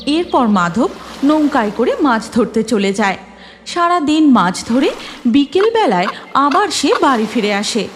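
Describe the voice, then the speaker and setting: A woman's voice: high voice, sing-song, unhurried, slight background noise. A woman narrating a scripted cartoon story. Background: music.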